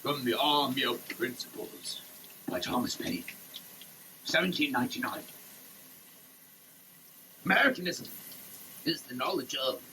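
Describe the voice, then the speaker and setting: Forceful, slow-reading man, off mic. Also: mid-range voice, expressive. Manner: emphatic, declamatory. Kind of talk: dramatic reading of a text. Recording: distant mic, faint noise.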